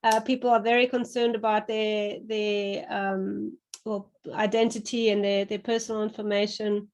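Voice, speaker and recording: A woman's voice: mid-range voice, flat delivery, normal speed. A woman with a German accent, speaking as a panelist and thinking aloud with many fillers and restarts. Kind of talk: panel discussion.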